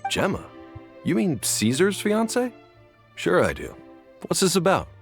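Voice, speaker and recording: An actor voicing a kindly middle-aged man at a cemetery who talks gently and sighs with sympathy. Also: high-pitched, huge pitch swings, measured pace, gravelly, full character voice. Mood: sympathetic. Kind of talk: game voice acting. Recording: game audio with faint music.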